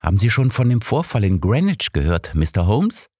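Audiobook role: lively, friendly younger woman, outspoken and animated, pitched up by a male reader. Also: moderate pace, dry recording, clean studio sound. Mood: earnest, concerned. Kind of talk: audiobook character voice.

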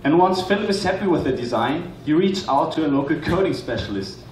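A man in his twenties presenting a rehearsed pitch as a student on a hall stage. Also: lively intonation, fairly quick. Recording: echoey, noisy hall, distant microphone pickup.

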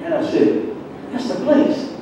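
A man giving a personal testimony in church in a conversational, halting storytelling way, acting out bits of dialogue. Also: dark tone. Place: large church sanctuary, indoors. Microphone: far camera at back of the room. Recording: echoey church hall, distant mic, muffled, noisy.

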